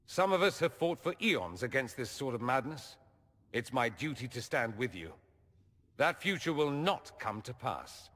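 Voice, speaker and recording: A flamboyant young mage nobleman in a video game, full of arch, ironic wit and a bright, animated delivery that swoops up and down. He teases and performs every line, with a long reverb trailing behind his voice. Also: mid-range voice, a little slow. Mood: earnest. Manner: lively, teasing, theatrical. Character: witty, vain, charming male mage nobleman, young adult. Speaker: mage companion.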